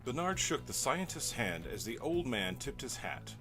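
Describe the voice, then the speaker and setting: A man's voice: medium pitch, big pitch swings, moderate pace. A man delivering dramatic scripted narration in a theatrical, mock-serious way. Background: music.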